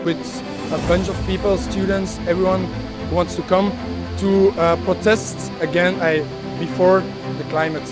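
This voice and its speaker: Hesitant speaker, medium pitch, spontaneous interview talk, loud music. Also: little pitch movement, moderate pace. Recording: loud music over inserted clip.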